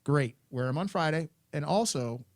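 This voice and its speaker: A man's voice: a little high, animated, moderate pace. A man hosting a school's afternoon announcements, friendly and instructive, repeating his points to students.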